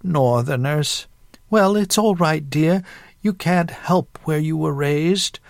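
A man's voice: a little high, slow pace, vocal fry, no echo, clean studio sound, voice pushed up. A man voicing a prim, proud elderly great-aunt in a story, nosy and easily scandalized.

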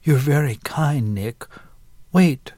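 A man voicing a sweet, gentle and polite young woman in a story. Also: medium pitch, lively intonation, slow pace, dry recording, clean studio sound, voice pushed up. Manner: sweet, soft-spoken.

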